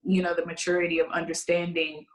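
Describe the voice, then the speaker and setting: A woman's voice: on the low side, fast. Chatty woman in her thirties, echoey room. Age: 30s. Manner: animated storyteller, chatty and expressive. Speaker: podcast guest. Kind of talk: casual chat.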